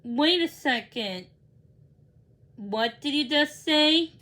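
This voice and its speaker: A woman's voice: high-pitched, animated, slow, bright, forceful tone, full character voice. A woman voicing an anxious young boy in a storybook read-along, given to sudden exclamations. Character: anxious young boy who made a bad wish.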